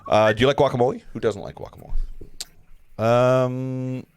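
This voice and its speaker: A man's voice: mid-range voice, fairly even intonation, normal speed, clean tone. A man hosting a livestream table read, narrating screenplay stage directions with casual asides.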